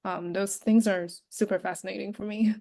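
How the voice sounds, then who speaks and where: A woman guest on a podcast, speaking softly and casually with many fillers. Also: mid-range voice, steady tone, normal speed, vocal fry. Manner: soft-spoken, many fillers and restarts. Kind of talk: casual conversation.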